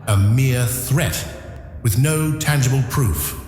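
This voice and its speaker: A man's voice: mid-range voice, takes it slowly, a little mellow, pitched above the performer's own voice, natural voice. A man voicing a proud, defiant Egyptian pharaoh with a haughty, scornful manner in an audio drama. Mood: scornful, challenging. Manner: haughty, contemptuous. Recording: echoey hall reverb, music behind.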